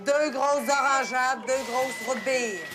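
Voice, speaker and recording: An actor voicing a garbled drive-thru intercom, reading orders back in a flat, repetitive way through a crackly speaker. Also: high voice, slow. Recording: noisy intercom sound.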